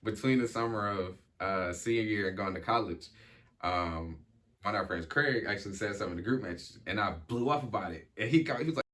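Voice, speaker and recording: A man's voice: fairly low pitch, quick pace, bright, forceful tone. A young man talking reflectively in casual chat as a podcast guest, with many fillers, restarts and an occasional stutter.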